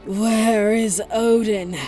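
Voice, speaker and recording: A woman's voice: a little high, steady tone, very slow, dark tone, soft background music. A woman playing a cold, commanding villainous empress in an ASMR audio roleplay. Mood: cold, menacing.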